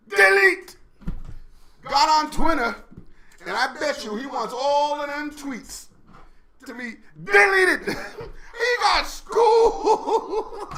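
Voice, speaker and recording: A man co-hosting a podcast, trading casual, profane banter with loud laughing outbursts. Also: extremely high pitch, noisy background. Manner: animated, loud laughing outbursts, heavy swearing. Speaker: podcast co-host.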